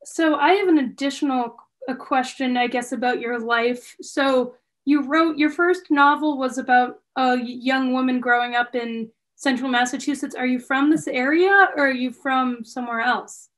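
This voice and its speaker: A woman's voice: high-pitched, steady tone, moderate pace, hardly any pauses, a bit bright. A woman hosting a casual interview, speaking in a tentative, conversational way. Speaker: interviewer, host.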